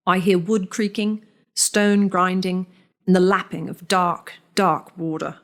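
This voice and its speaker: A woman reads a first-person story aloud. Her voice sits somewhat low, and her delivery is deliberate and measured, with lingering pauses between phrases. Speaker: narrator.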